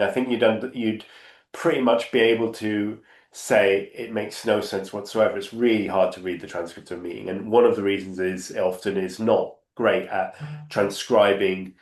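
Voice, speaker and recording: A man's voice: lowish voice, fairly even intonation, moderate pace, echoey room. A man answering audience questions on a panel, explaining at length and often restarting his phrases. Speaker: panel speaker. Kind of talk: conversational Q&A answer.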